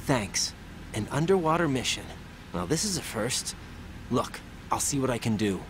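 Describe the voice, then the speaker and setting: A man's voice: medium pitch, very animated, moderate pace, a bit bright, slight background noise, low-quality recording. An actor playing a young rookie agent, earnest and impatient, questioning his allies in a video game cutscene. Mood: reluctant resolve. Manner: questioning, emphatic.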